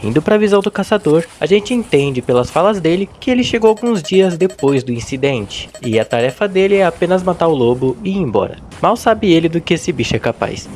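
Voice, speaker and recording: Brazilian male video host, casual commentary, higher-pitched voice, very varied melody, storytelling. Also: big pitch swings, fairly quick, hardly any pauses, a little mellow, a little hiss or hum.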